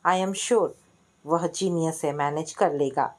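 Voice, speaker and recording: A woman's voice: deep voice, slow. Woman as blunt young male student. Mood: earnest. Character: young male student, blunt, class-conscious, loyal friend.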